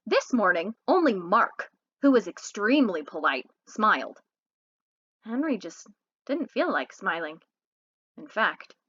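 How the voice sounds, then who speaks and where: A woman reading a children's story aloud in an expressive, animated way, like a storyteller. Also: on the high side, muffled recording. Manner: animated, pauses to ask listeners questions. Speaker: read-aloud host, storyteller.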